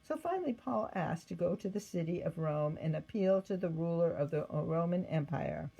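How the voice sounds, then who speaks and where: A woman reading a scripted children's Bible story aloud in an expressive manner. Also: on the low side, moderate pace, vocal fry, breathy edge, low-quality recording. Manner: expressive read-aloud with acted quotes. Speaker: storybook reader.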